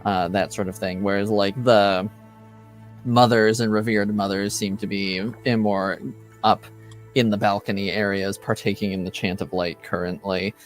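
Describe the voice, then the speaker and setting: A man narrating as game master of a tabletop game, pausing often with ums and uhs. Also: fairly low pitch, flat delivery, faint music behind, low-quality recording. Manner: hesitant, lots of ums and uhs.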